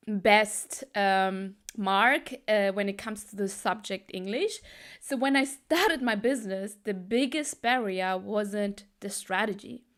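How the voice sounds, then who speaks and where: Woman, Tamil speaker, slightly high voice, unhurried pace, emphatic motivational coaching talk. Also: fairly bright tone. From Germany. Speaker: business coach.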